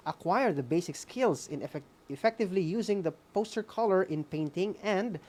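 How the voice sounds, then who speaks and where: A woman's voice: lowish voice, lively intonation, a little fast, darkish tone. A woman with a Visayan Filipino accent hosting an on-air lesson, moving between reading and informal asides, often adding "no?" as a tag. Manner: code-switching, frequent "no?" tags. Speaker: host of a radio art class.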